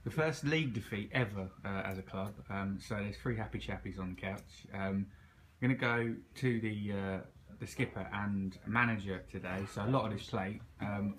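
A man's voice: fairly low pitch, moderate pace, few pauses, fairly bright tone, slight background noise. Joking man interviewing. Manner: jokey, hesitant with many ums. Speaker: club TV interviewer. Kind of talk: casual post-match interview. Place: small clubhouse room.

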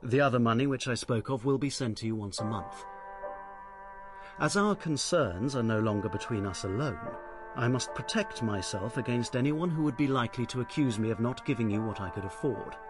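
An actor playing a proud, impoverished writer in a period radio drama, English accent, formal and stiff with wounded dignity. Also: male voice, mid-range voice, a little fast, music in the background, close to an everyday voice. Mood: cold, formal.

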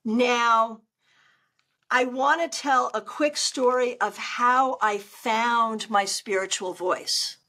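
Older lady on a video call, loud speaking voice, kinda high, talks with her hands. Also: slow. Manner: animated, gestures a lot while talking. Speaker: tarot reader guest. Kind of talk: casual interview chat. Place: home room on a video call.

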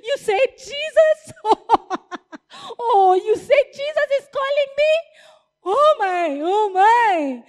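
A woman voicing a blind male beggar who is eager and desperate, pleading and calling out loudly. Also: very high-pitched, animated, takes it slowly, big-room sound, heavily acted. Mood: overjoyed.

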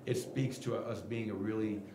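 Middle-aged coach at a press podium. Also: male voice, on the low side, normal speed, roomy sound, noisy background. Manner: candid, animated, gestures while explaining. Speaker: basketball head coach. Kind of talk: post-game press conference answers. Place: press room at team podium. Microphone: table mic in front of him.

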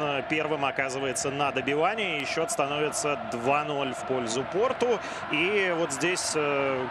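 Man analysing football over a loud crowd. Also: fairly high pitch. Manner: analytical, chatty, with many fillers. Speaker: football co-commentator. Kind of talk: match commentary. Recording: loud crowd noise behind. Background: stadium crowd.

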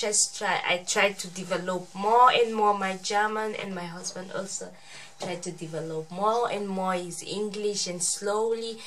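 A woman's voice: medium pitch, fairly bright tone, low-quality recording. A woman in her thirties hosting a casual family vlog, speaking to viewers and often repeating words. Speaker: vlogger host. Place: small bedroom, indoors.

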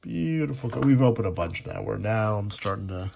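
A man with a gravelly, husky voice giving casual, chatty, appreciative running commentary as he hosts a card-opening video at a table indoors. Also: lowish voice, expressive, a little fast, a little mellow, slight background noise. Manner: chatty, appreciative, reading names aloud. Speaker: host opening sports card packs.

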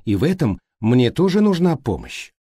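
A man voicing a thoughtful, courteous, exacting male detective in an audiobook, speaking politely and deliberately. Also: mid-range voice, sing-song, warm tone, husky, no echo. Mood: firm, lecturing. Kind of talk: audiobook character reading.

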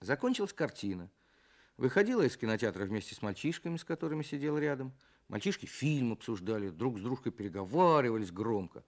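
A man recites verse and tells little stories for children. His delivery is theatrical and expressive, with lively swings of pitch and a gravelly edge to a mid-pitched voice. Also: fast talker. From Russia. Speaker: children's writer guest. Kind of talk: storytelling and reciting children's poems.

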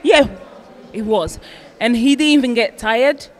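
A woman's voice: on the high side, a little slow. A woman from a TV crew being interviewed casually by a colleague on a handheld microphone.

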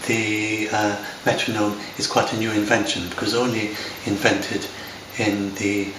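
Older English man, low-ish voice, halting chat to camera, distant, noisy home recording. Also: level tone, echoey room. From lives in Scotland. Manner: rambling, hesitant, many fillers and restarts. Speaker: software developer talking to camera. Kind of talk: unscripted talk to camera. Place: home room by large windows. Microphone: far camera.

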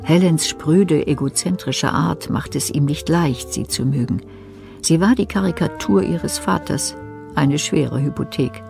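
A woman playing the detached, composed narrator of a German radio crime play, in measured scripted narration. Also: low-pitched, gravelly, a little mellow, faint music behind, full character voice.

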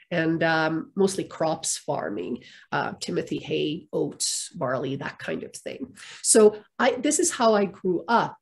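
A middle-aged woman from Finland, speaking as a therapist guest on a video call, thoughtful with many pauses and fillers. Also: medium pitch. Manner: thoughtful, many ums and restarts, light laughs. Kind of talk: conversational interview answers. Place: home room on a video call. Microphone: webcam or laptop mic, video call.

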